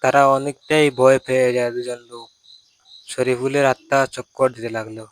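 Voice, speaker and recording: Flat-toned man narrating a story. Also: mid-range voice, a little fast, a little hiss or hum. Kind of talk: plain storytelling narration for a cartoon.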